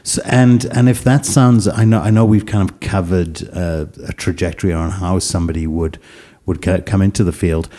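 A man hosting a podcast interview, talking haltingly and searching for words, then closing the show. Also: sits low, steady tone, mellow. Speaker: podcast host.

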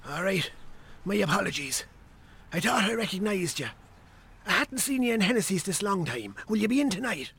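An actor playing a chatty Irish countryman from the local pub in a radio drama, with a raspy, creaky voice. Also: high-pitched, sing-song, moderate pace, pauses often, fairly bright tone, exaggerated voice. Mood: affable, nosy.

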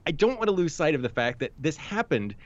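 A man's voice: on the high side, big pitch swings, brisk. A man co-hosting a political discussion, laying out his points analytically with frequent restarts. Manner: analytical, many restarts and hedges. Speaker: podcast co-host. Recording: dull lo-fi sound.

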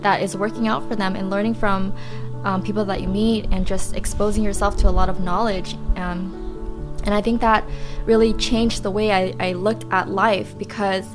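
A young woman guest on a podcast, speaking at an ordinary middle pitch. She talks thoughtfully and reflectively, pausing often and filling the gaps with soft fillers. Music runs behind her. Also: level tone. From United States. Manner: reflective, with many pauses and fillers. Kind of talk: casual interview.

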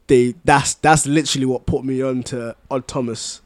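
A man chats on a podcast in a medium-pitched voice. His way of talking is enthusiastic and full of slang. The sound is dry, with very little room. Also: fairly even intonation, moderate pace, no echo. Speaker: podcast co-host. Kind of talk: casual podcast chat among friends.

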